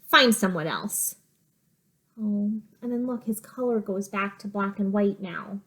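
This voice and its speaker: A woman's voice: mid-range voice, fairly even intonation, moderate pace, darkish tone. A woman teacher reading a picture book aloud to children, with simple explanatory asides.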